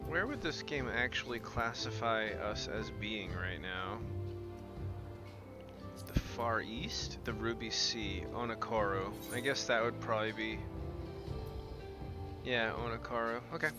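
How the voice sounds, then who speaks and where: A man's voice: on the low side, measured pace, long pauses. Man streaming games over prominent background music. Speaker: game streamer. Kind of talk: casual gameplay commentary, reading game dialogue aloud. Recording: game music behind voice. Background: game music.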